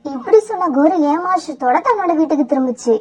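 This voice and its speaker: A woman narrating a children's cartoon story in a steady, even way. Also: high voice, fast talker.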